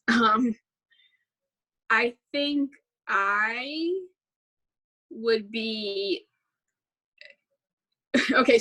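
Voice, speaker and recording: Young woman host, slow and rambling, bright tone, casual filler-heavy chat. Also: a little high, poor audio. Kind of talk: casual chat about musicals.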